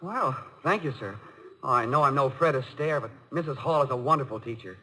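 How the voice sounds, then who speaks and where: An actor playing an eager, bashful young college student in a 1950s radio comedy-drama, boyish, polite and stammering. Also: male voice, medium pitch, animated, a little fast, bright, forceful tone. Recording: noisy old radio broadcast recording.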